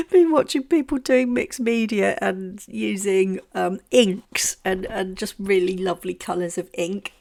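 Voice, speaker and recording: A woman's voice: fairly low pitch, vocal fry. A woman with a congested voice from a heavy cold, chatting casually about her crafting and stumbling over her words. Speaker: craft vlogger. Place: home craft table.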